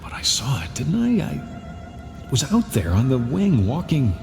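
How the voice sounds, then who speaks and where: An actor playing an anxious, haunted married airline passenger in a radio drama, speaking in a hesitant, uneasy way. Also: male voice, mid-range voice, big pitch swings, a little slow, creaky, darkish tone, soft background music. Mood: troubled, haunted. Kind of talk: vintage-style radio drama acting.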